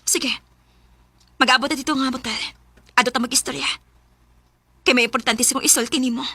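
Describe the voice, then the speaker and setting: A woman's voice: high-pitched, steady tone, a little fast, noisy background. A woman playing a bitter, abandoned young lover with a Cebuano accent. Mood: reproachful. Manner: reproachful, emotional. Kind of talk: radio drama acting.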